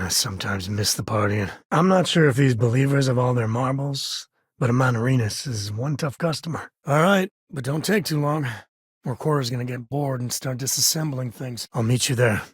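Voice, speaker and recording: A man's voice: medium pitch, husky, dry recording, clean studio sound. An actor playing an easygoing, wry space-frontier ranger and protective father in a video game, with folksy, informal speech.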